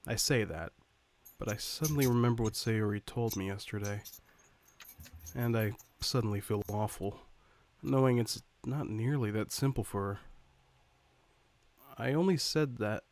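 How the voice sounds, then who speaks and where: A man playing an earnest, worried high school student who narrates a visual novel in the first person. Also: natural voice. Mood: troubled, brooding.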